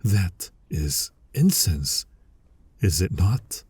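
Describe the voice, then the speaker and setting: A man playing a flirty, exasperated incubus demon in an intimate ASMR roleplay, husky and breathy with a teasing, sardonic manner, often slipping toward a whisper. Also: sits low, sing-song, a little mellow, dry recording, full character voice. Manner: slow, teasing, sardonic delivery. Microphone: close, dry studio-style microphone.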